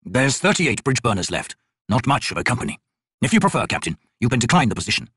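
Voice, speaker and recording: A man voicing a grizzled, gruff veteran commander in a fantasy audiobook, growling, measured and authoritative. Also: mid-range voice, sing-song, a bit bright, a little hiss or hum.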